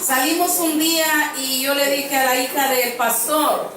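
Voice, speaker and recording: A woman with a high voice preaches an earnest sermon in a church, driving her points home by repeating phrases. She is picked up from some distance, so her voice rings around a very reverberant hall. A faint hum of room ambience sits underneath. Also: fairly even intonation, measured pace, fairly bright tone. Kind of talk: sermon with personal storytelling. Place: church sanctuary.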